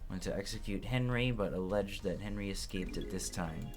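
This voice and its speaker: A raspy, hoarse young man casually commenting on a game playthrough, with lots of ums and halting restarts. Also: deep voice, faint music behind. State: hoarse from illness. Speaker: let's play host. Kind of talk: game playthrough commentary, reading game text aloud.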